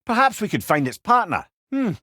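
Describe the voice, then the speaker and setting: A wise old Scottish companion from a video game, talkative and sentimental under the wry surface. His delivery swings freely up and down in pitch, folksy yet eloquent. The voice sits close and dry, with no room around it. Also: big pitch swings, brisk, sharp tone, no room sound, full character voice. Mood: advising. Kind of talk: video game character dialogue.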